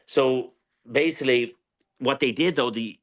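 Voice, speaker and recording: A man's voice: medium pitch, unhurried, fairly bright tone. An Irish man telling history as an interview guest, speaking emphatically and passionately, repeating phrases for weight. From Ireland. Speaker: guest, tequila brand owner.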